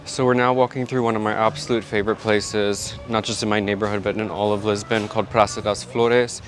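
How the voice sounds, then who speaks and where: A man's voice: lowish voice, flat delivery, a little fast, faint music behind. A man chatting casually and rambling a bit as he vlogs his day. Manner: chatty, rambling, self-talking. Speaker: vlogger. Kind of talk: casual vlog chat.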